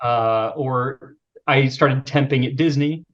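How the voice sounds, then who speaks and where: A man with a youthful-sounding voice, chatting as a podcast guest in a relaxed, self-deprecating way with many fillers. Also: mid-range voice, slow. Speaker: podcast guest, video creator. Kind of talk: casual interview chat. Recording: slightly dull lo-fi sound.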